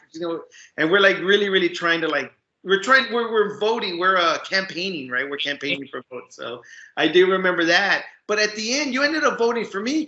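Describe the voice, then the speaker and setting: A man's voice: high voice, bright, forceful tone. A man in his fifties co-hosting a casual podcast, joking and switching between English and Spanish. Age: 50s. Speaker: podcast co-host. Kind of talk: casual bilingual podcast chat. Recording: echoey, muffled lo-fi remote audio.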